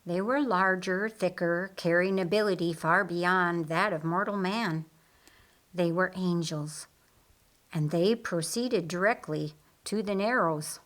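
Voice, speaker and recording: Unhurried woman narrating an audiobook story. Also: fairly low pitch, fairly bright tone.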